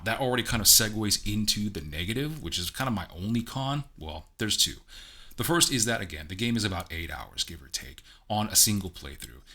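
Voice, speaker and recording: Deep-voiced, chatty male game reviewer. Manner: chatty, self-deprecating, relaxed. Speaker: game reviewer and amateur voice actor. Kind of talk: casual video review.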